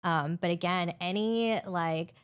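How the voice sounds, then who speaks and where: A woman's voice: fairly low pitch, a little slow, clear, resonant tone. A woman in her forties co-hosting a podcast, chatting casually and telling a personal story full of "like" fillers. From Hawaii and California. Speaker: podcast co-host.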